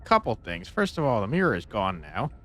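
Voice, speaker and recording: Animated, bemused male gamer commentating. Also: on the low side, brisk. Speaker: let's play streamer. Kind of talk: casual gaming commentary.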